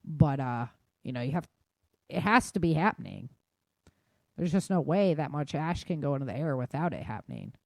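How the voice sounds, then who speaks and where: A woman's voice: low-pitched, big pitch swings, moderate pace, vocal fry, no room sound. An American woman hosting a podcast in an informal, chatty, self-deprecating way, talking through the news unscripted. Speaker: podcast host. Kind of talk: casual unscripted chat about news.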